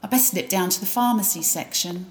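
A woman's voice: mid-range voice, fairly quick, darkish tone, a little hiss or hum. A woman narrating a drama in a wry, gossipy first-person voice.

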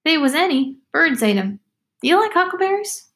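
A woman's voice: high voice, very animated, a little fast, a bit bright, pitched above the performer's own voice, full character voice. A woman voicing a ragged, talkative, hungry runaway girl with blunt rustic speech in a story reading. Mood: blunt curiosity.

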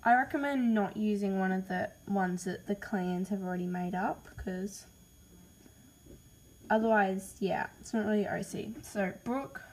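A woman's voice: medium pitch, unhurried, darkish tone, slight background noise, poor audio. A woman with an Australian accent, casually reading out name ideas in a voice message, hesitant and apologetic with lots of ums. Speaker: podcaster listing name ideas.

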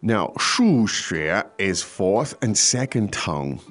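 A man's voice: lowish voice, takes it slowly, soft background music. A man hosting a podcast language lesson, explaining at an easy pace with frequent hesitations. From foreign to China. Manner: relaxed, explanatory, many hesitations. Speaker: language teacher host.

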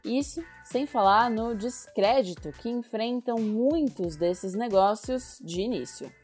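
A woman's voice: a little high, a little mellow, breathy edge, faint music behind. Woman narrating a scripted news report. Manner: measured, addresses the listener directly.

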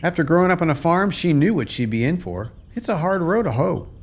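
A man's voice: on the high side, expressive, fairly quick. A man playing a weary, plainspoken American farmer who has lost his family land, in a folksy radio play style. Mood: rueful.

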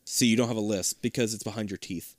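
A man in casual chat who talks loudly and projects, with a lisp and frequent laughter. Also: lowish voice, fairly even intonation, fast, no echo, clean studio sound. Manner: loud, projecting, laughs often.

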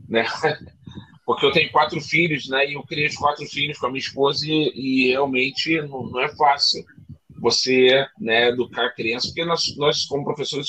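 A man's voice: medium pitch, steady tone, normal speed, pushed and bright, husky, poor audio. A man who is a teacher and father, talking reflectively on a podcast with frequent tag questions. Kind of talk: casual podcast chat.

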